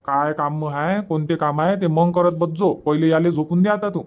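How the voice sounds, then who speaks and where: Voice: medium pitch, steady tone, normal speed, narrow, tinny audio, lightly acted. A performer plays a village husband and father from a cartoon comedy. He speaks in a Varhadi Marathi accent, handing out orders and scolding like an exasperated head of the house. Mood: bossy. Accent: Varhadi Marathi from Vidarbha. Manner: bossy, scolding.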